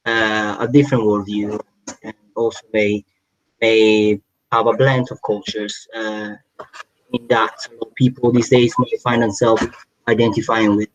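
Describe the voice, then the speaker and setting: A man speaks over a video call in a low, flat voice, stumbling and restarting as he works through his question. The audio is dull and muffled, with a dry, close sound and no room echo. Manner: halting, many restarts and fillers. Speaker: workshop attendee asking a question. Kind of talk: hesitant question in online workshop.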